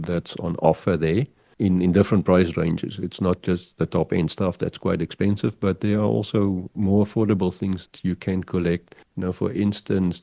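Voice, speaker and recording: An older man whose first language is Afrikaans, speaking as a podcast guest in a measured, halting way with many restarts. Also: sits low, vocal fry, mellow, no room sound. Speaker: guest, pigeon figurine artist. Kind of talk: podcast interview over a call.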